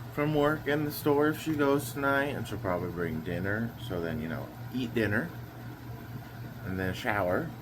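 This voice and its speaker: A man's voice: on the low side, background noise. A young man chatting casually through his daily vlog plans, a little nasal and rambling with lots of fillers. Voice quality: slightly nasal. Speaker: vlogger.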